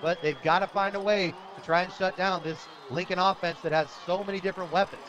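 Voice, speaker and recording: An American man with a high-pitched voice gives live football play-by-play for radio. His calls are short, energetic and in the present tense. A loud outdoor crowd carries on behind him. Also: fast talker. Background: stadium crowd.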